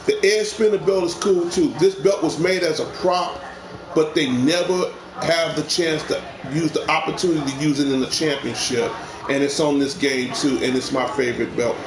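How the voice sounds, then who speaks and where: A man's voice: fairly high pitch, fairly even intonation, normal speed, a crowd in the background. Man chatting on a livestream. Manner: rambling, repeats himself, talks to viewers. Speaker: live stream host. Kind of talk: casual live game-stream chat. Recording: echoey room with clear background noise.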